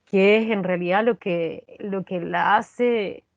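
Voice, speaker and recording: A woman's voice: mid-range voice, slow pace, dry recording, lo-fi sound. A woman answering as an interviewed actress, reflective and searching, repeating phrases as she explains. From Chile.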